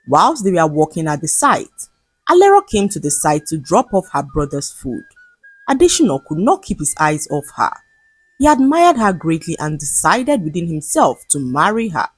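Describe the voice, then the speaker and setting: A woman narrates a folktale with a lowish, husky voice that rises and falls widely. Her delivery is measured and dramatic, like a practised storyteller. The recording is close and dry, with faint music underneath. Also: dry recording, rough recording. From Nigeria.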